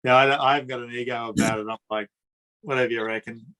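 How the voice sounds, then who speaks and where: A man with a drawling Queensland Australian accent, hosting a relaxed interview in a friendly, chatty way and often repeating words. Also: medium pitch, fast talker, rough recording. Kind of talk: casual conversational interview.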